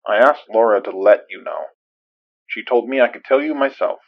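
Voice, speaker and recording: A man's voice: medium pitch, no echo, muffled recording. An actor playing a guarded, businesslike man who speaks curtly and with reserve in an audio drama. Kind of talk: naturalistic audio drama acting.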